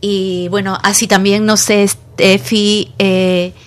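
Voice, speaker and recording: Slow, reflective woman at a roundtable. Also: mid-range voice, little pitch movement. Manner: slow, reflective, many hesitations. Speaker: roundtable participant passing turns. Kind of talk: casual radio roundtable talk.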